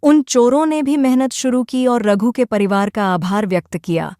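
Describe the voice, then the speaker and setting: A woman narrating a children's moral story in steady, measured storytelling. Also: fairly high pitch, no room sound, studio quality.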